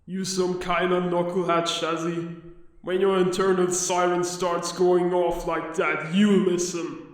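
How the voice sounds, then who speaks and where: A man voicing a gruff, scolding tusked beast in a comic dub, brusque and colloquial, through a heavy echo. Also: sits high, little pitch movement, unhurried. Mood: scolding, forceful. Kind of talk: dramatic fan-dub comic acting. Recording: very echoey, distant-sounding.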